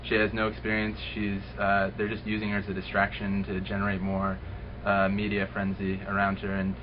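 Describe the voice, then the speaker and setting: Low-voiced man, halting and filler-heavy. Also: completely flat delivery. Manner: halting, with many fillers and repeats. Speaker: interviewee. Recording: dull lo-fi webcam audio with hiss.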